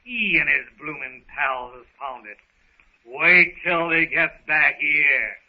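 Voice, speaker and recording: A swaggering, slangy Cockney pirate, high in pitch and full of menace and boasting. It is old-time radio villainy with a dull, muffled 1930s sound. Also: male voice, slow. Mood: menacing, boastful. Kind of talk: 1930s radio serial acting.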